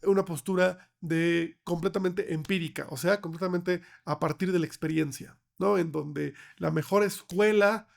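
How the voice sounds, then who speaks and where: A slightly hoarse man with a Mexican accent explaining things conversationally as a podcast guest, often ending on tag questions. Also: high-pitched, fairly even intonation, moderate pace, few pauses. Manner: checks in with frequent tag questions. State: slightly hoarse from getting over a cold. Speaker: podcast guest, film researcher.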